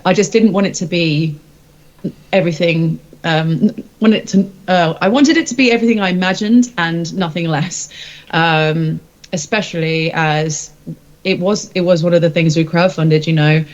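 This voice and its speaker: Woman in candid interview, slightly muffled sound. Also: low-pitched. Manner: informal, hesitant, self-reflective. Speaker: singer and interview guest.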